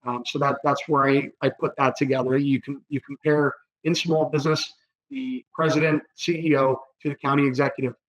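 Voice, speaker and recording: A hoarse man, a political candidate giving earnest interview answers, with many fillers and repeated words. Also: mid-range voice, level tone, brisk, no room sound, dull audio. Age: 30s.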